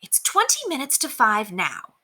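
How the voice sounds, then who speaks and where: Woman acting an exasperated mother, sharp-toned. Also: a little high, huge pitch swings, a little slow, pitched above the performer's own voice, exaggerated voice. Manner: scolding, weary. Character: exasperated mother scolding her young son. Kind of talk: children's storybook character voice.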